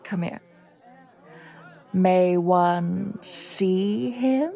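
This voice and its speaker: A woman playing a curious, easily shocked foreign visitor in a conversational, comedic audio drama, with a playful, expressive manner. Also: fairly low pitch, extremely slow, gravelly, soft background music, a faint crowd, full character voice. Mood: curious, amused.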